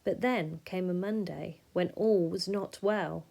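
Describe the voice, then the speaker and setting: Unhurried woman reading a children's story. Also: lowish voice, creaky, mellow. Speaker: storybook reader. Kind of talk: expressive rhyming children's story narration.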